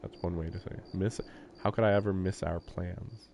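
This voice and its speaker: A man's voice: sits low, fairly even intonation, moderate pace, gravelly, a little mellow, dull audio, a little hiss or hum. A man live-streaming a visual novel, reading the text aloud and adding casual, wry, offhand comments. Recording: faint game music behind the voice. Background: game soundtrack.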